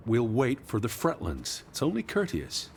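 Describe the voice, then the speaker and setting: An actor playing a weary, anxious, bookish gentleman with a formal, precise manner in a 1920s period drama game. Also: mid-range voice, huge pitch swings, moderate pace, a little hiss or hum. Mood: exhausted. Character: weary, anxious, bookish middle-aged gentleman searching for his sister.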